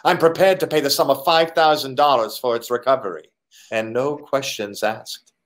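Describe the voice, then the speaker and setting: Guy doing polite creepy foreign crook, old radio drama, very formal deliberate wording, medium pitch. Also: fairly even intonation, close to an everyday voice. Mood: polite, insinuating. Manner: formal, overpolite, deliberate phrasing. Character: courteous, sly, menacing foreign gentleman crook. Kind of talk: 1940s radio detective drama acting.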